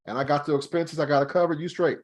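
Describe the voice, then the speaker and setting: A man raising his voice to play an old, devout father, steady and reassuring. He speaks blessings over his son and calms his worried wife, as in a retold scripture story. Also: a little high, fast, pitched above the performer's own voice, lightly acted.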